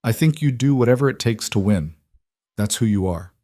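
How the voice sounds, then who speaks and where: A man's voice: mid-range voice, expressive, moderate pace, mellow, dry recording, clean studio sound, lightly acted. A man voicing a proud, weary pregnant woman, an estranged wife with a firm, guarded manner, in an audiobook. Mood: firm, wary.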